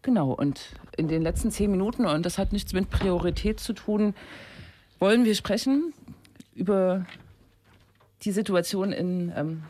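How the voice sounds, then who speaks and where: Man, somewhat high voice, radio host, casual, lots of filler sounds. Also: a little slow, dark tone, a little hiss or hum. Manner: informal, with frequent fillers. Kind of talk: casual radio chat and interview.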